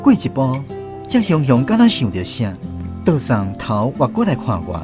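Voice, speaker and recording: A man with a Taiwanese accent narrating a scripted radio drama as a grown son, reflective and expressive. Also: mid-range voice, normal speed. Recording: music behind the voice.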